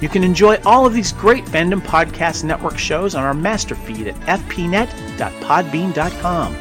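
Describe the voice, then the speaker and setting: Man, announcer, raised pitch, scripted promo, music bed behind. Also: animated, normal speed. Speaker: network announcer.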